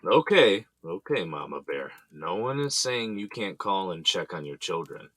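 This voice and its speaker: An actor as an easygoing husband and father in an audio drama, with a low, rough-edged voice that has a forward, bright push. He is teasing, affectionate and coaxing. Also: very animated, measured pace, dry recording, exaggerated voice. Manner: playful, coaxing. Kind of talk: naturalistic audio drama acting.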